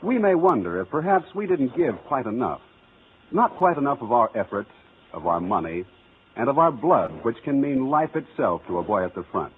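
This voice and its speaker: A man's voice: mid-range voice, sing-song, moderate pace. Male vintage radio announcer, earnest and oratorical, widely inflected delivery, narrow old-broadcast sound. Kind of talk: 1940s scripted radio announcing. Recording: narrow, faintly noisy vintage radio recording.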